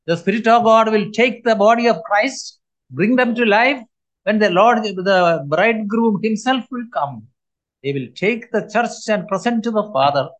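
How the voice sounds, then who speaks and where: A man in his seventies preaching a sermon, his voice sitting high for a man. He speaks earnestly and with emphasis, pausing often between phrases. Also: fairly bright tone, rough recording. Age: 70s.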